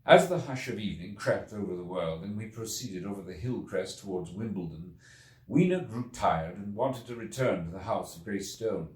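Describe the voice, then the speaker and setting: A man voicing an earnest Victorian gentleman time traveler recounting his ordeal in a storybook reading, formal, brooding and deliberate. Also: fairly low pitch, lively intonation, natural voice. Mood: uneasy, hushed. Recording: echoey room, distant from mic.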